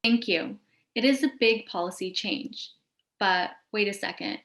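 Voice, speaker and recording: Casting: female educational show host, scripted delivery, mid-pitched voice, echoey recording. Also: measured pace, fairly bright tone. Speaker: host of a patient advocacy show. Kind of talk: scripted presentation with question prompts. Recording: echoey room sound.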